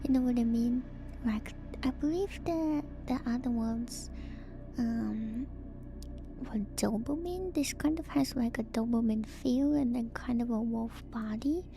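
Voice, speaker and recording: Casting: female ASMR streamer, hushed breathy whisper with creaky edges, musing lore commentary, music behind. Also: a little high, measured pace. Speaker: streamer, host of a lore breakdown.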